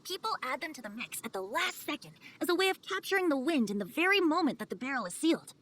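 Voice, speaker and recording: A woman's voice: high-pitched, big pitch swings, fast talker, fairly bright tone. A woman voicing a whimsical boyish male bard in a video game, speaking in flowery, theatrical phrases. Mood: storytelling. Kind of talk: animated video game voice acting.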